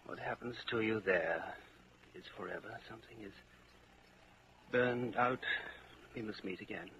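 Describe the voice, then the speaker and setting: A man's voice: on the low side, a little slow, fairly bright tone. An actor playing a hollow, defeated man, subdued and resigned, in 1940s radio drama style. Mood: hollow resignation. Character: defeated, hollow man echoing a confession of betrayal. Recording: muffled vintage 1940s radio recording, faint noise.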